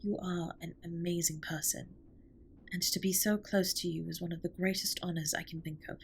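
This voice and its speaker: A woman's voice: fairly low pitch, steady tone, moderate pace, creaky, a little hiss or hum. A woman playing a formal, reserved but tender alien spaceship captain in a scripted comfort audio roleplay, speaking with precise, stilted politeness. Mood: sincere, tender.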